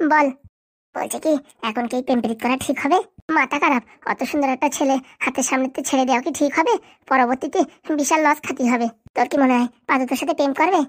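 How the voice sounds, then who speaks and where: A woman's voice: high-pitched, natural voice. A woman voicing a young school pupil in a comic cartoon, chattering.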